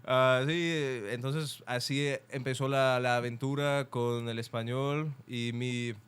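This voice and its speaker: Ukrainian man with a smooth voice. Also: medium pitch, steady tone, a bit bright. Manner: halting, searching for words with many restarts; laughs often. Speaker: podcast guest. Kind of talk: casual interview.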